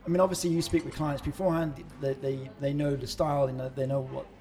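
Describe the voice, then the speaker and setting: A man's voice: mid-range voice, fairly even intonation, fast talker, mellow. A man co-hosting a casual podcast, chatty and encouraging, who keeps adding "to be fair" asides. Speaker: podcast co-host and wedding celebrant.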